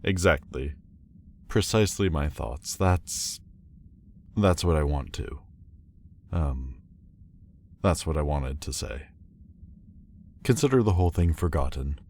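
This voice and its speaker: A man playing a proud, wounded demon lord in an audio roleplay, guarded but vulnerable, with halting, stiffly formal, wry delivery. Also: rumbling low, expressive, darkish tone, a little hiss or hum, exaggerated voice. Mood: flustered, stiff. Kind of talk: intimate audio roleplay acting. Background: ambient sound effects.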